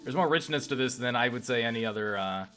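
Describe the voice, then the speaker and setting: A young man with a bright, forceful voice hosting a let's play. He talks casually but emphatically, sharing strong opinions and swearing freely. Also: mid-range voice, fast, soft background music. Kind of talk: casual gaming commentary.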